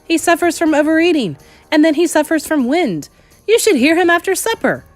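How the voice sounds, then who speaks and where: A pompous middle-aged man bellows in indignation, put on by a woman narrator. His voice sits raised and loud throughout this storybook scene. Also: high-pitched, very animated, a little fast, dry recording, faint music behind, pitched above the performer's own voice. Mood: blustering, outraged.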